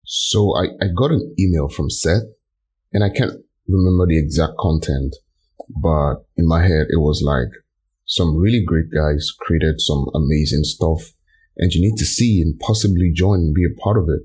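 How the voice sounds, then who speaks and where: Casting: man from Nigeria, extremely deep and husky, enthusiastic. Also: moderate pace, darkish tone. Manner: enthusiastic storyteller, acts out his own reactions. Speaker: podcast guest. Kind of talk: casual chat.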